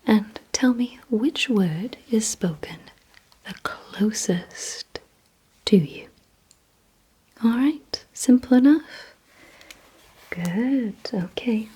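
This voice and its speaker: A woman performs an ASMR roleplay in a very breathy, mostly whispered voice. She speaks extremely slowly and deliberately, gently giving commands and instructions to the listener. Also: fairly high pitch, expressive, rough recording. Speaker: ASMR creator playing a vampire giving memory and attention tests.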